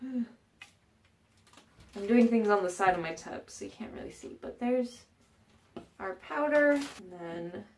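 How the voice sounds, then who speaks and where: A woman's voice: medium pitch, lively intonation, measured pace, pauses often, a little hiss or hum. A woman vlogging a casual how-to from her bathroom, rambling with fillers and joking asides, a little stuffed up. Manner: chatty, rambling, frequent fillers and self-mocking asides. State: a bit stuffed up. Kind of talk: casual how-to vlog chat. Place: home bathroom.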